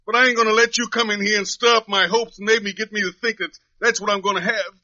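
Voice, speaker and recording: High-voiced despairing jailed drifter, old radio. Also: male voice, fairly even intonation, fast talker, sharp tone, no echo. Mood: anguished, pleading. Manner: uneducated folksy speech, pleading and resigned. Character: despairing wrongly jailed young drifter, pleading, plain-spoken. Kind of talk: 1950s radio drama acting. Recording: dull lo-fi old radio recording.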